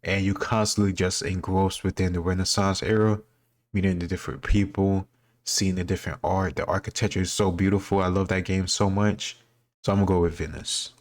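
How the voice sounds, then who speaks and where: A man's voice: low-pitched, steady tone, a little fast. A man giving casual commentary as he answers quiz questions, thinking aloud and doubting himself. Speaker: host reacting to a history quiz.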